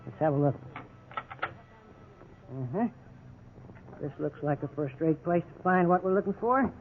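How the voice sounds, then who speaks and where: A veteran police officer from a 1950s radio crime drama, cocksure and sneeringly sarcastic. His voice is high for a man and he pushes everyone around. It comes through a thin, tinny old broadcast with clear noise. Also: lively intonation, a little slow. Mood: scheming, insistent. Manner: sarcastic, domineering.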